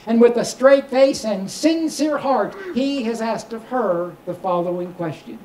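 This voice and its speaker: A man's voice: extremely high pitch, unhurried, darkish tone. A middle-aged man performing stand-up comedy into a handheld mic, telling stories and addressing the room. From Kentucky, United States. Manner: storytelling delivery, projected to the room. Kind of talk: stand-up comedy routine with crowd work. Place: small comedy club stage, indoors. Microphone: handheld microphone. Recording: echoey club stage, clearly noisy.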